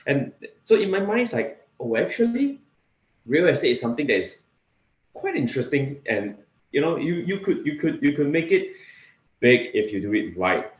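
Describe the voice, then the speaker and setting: A man's voice: on the high side, big pitch swings. Singaporean man talking in an echoey room. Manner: halting, repeats words. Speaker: interview guest, real estate investor. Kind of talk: casual interview talk. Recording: echoey room, slightly dull sound.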